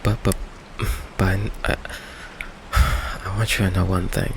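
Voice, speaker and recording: A man playing a gentle, insecure goth vampire boyfriend in an ASMR roleplay, soft-spoken, halting and apologetic, with a gravelly voice. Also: low-pitched, background noise. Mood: earnest, defensive. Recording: steady rain ambience behind the voice. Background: rain ambience.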